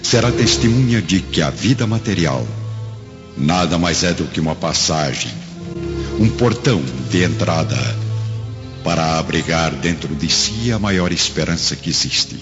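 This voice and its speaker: Deep, slow Brazilian male narrator. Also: expressive. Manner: solemn, theatrical delivery with weighty pauses. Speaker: narrator of a radio drama. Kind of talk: dramatic scripted narration. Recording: dull lo-fi, long hall reverb. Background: prominent background music.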